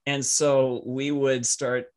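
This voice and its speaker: A man whose first language is French, telling personal stories casually as a podcast guest in a halting, reflective, easily amused way. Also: medium pitch, flat delivery, a little mellow.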